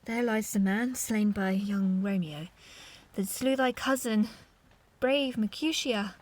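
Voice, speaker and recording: A woman's voice: medium pitch, slow pace. A woman playing an anxious, loyal friend who witnesses a brawl, urgent and emphatic in a Shakespeare audio drama. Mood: pleading.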